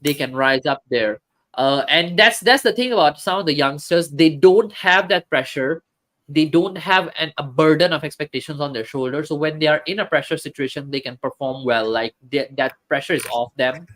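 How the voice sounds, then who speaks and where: A man's voice: on the high side, moderate pace, fairly bright tone. A man hosting a casual football talk stream, chatting with viewers and reading comments, hesitant with many fillers and restarts.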